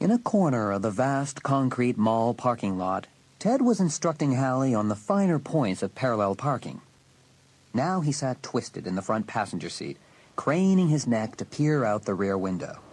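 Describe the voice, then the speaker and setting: A man's voice: mid-range voice, very animated, moderate pace, a little mellow, low-quality recording. A man reading scripted story narration as an audiobook narrator.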